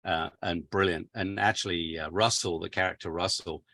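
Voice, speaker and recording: A man with an English accent, an author guest chatting casually on a podcast, relaxed and laughing easily. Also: low voice, moderate pace, vocal fry. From England.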